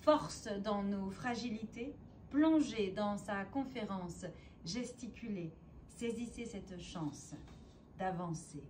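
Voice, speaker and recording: A woman performing a spoken-word poem in a theatrical, declamatory way, with deliberate phrasing, dramatic pauses and emphasis. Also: mid-range voice, a little mellow, a little hiss or hum. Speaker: poet and stage performer.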